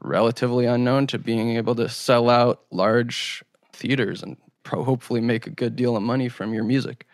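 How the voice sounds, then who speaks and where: A man hosting a podcast interview, asking long conversational questions in a measured, thoughtful, tentative way. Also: medium pitch, fairly even intonation, no echo, clean studio sound.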